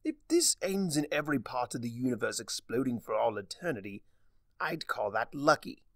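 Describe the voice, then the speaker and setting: A man's voice: medium pitch, very animated, normal speed, creaky, a bit bright, no room sound, studio quality, exaggerated voice. A man voicing a mischievous male chaos spirit in a storybook reading, with a theatrical, teasing drawl. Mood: wry, teasing.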